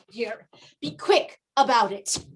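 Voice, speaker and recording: Actress as bossy woman minding a younger traveler. Very high voice, huffy and emphatic, stage comedy. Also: fairly bright tone, husky, exaggerated voice. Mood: exasperated. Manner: scolding, exasperated, emphatic. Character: bossy, scolding woman chaperoning a younger tourist.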